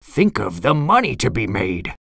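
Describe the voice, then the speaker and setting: A woman voicing a blustery, money-loving old male crab restaurant boss in a children's storybook, gruff and piratical. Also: rumbling low, very animated, normal speed, fairly bright tone, dry recording, studio quality, exaggerated voice. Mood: scheming delight.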